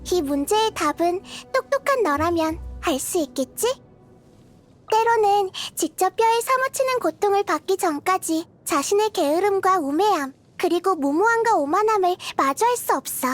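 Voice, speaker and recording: A woman voicing a gentle, curious little girl deity of wisdom in a game, soft-spoken and thoughtful, as if kindly explaining. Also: extremely high pitch. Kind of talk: scripted game character voice lines. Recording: music behind the voice. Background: background music.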